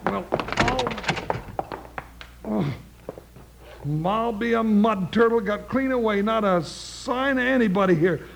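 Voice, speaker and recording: An actor playing a jittery, blustering comic cowboy sidekick with an American Western drawl in an old-time radio western. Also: male voice, high-pitched, slow pace, vocal fry, long pauses, exaggerated voice. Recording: noisy old radio recording with clicks.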